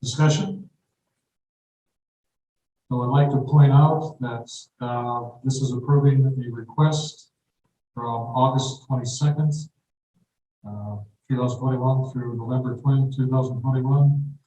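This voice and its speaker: A man chairing a city council meeting, calling votes and reading agenda items in a brisk, procedural way. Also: medium pitch, fairly even intonation, warm tone, muffled recording. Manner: brisk procedural, quickens when explaining. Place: council auditorium. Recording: echoey hall from far off, faint noise.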